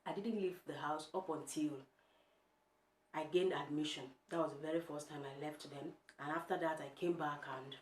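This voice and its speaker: A young Nigerian woman with an Igbo accent and a low, deep voice, vlogging from home. She talks emphatically and keeps putting direct questions to the viewer. The room sounds echoey. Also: fairly even intonation, normal speed, a little hiss or hum. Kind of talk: casual opinion vlog.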